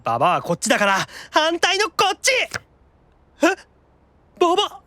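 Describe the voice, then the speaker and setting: Casting: dramatic sore-loser demon lad, very lively high pitch, flustered anime radio-play delivery. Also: male voice, moderate pace, no room sound, soft background music, exaggerated voice. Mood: cocky, then shocked. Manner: theatrical, flustered, stammering. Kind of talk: anime-style audio drama acting.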